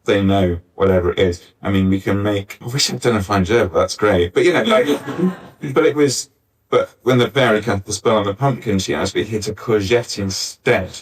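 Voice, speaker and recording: Male panelist, husky and lowish, casual rambling jokey talk with self-interruptions, distant live-event pickup. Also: normal speed. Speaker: pantomime writer, panelist. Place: arts centre stage, live panel. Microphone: distant backup recorder. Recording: distant mic, uneven backup recording.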